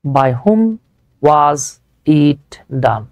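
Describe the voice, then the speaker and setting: A man's voice: fairly high pitch, big pitch swings, extremely slow, smooth, no room sound. A man with a Bangladeshi accent teaching a live online grammar lesson step by step, informal and chatty, often putting questions and answering them himself.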